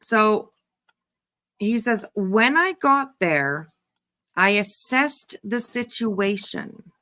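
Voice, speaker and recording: A woman host giving casual reaction commentary, musing in a halting, repetitive, emphatic way. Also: medium pitch, expressive, pushed and bright. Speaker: host giving commentary on a true-crime case.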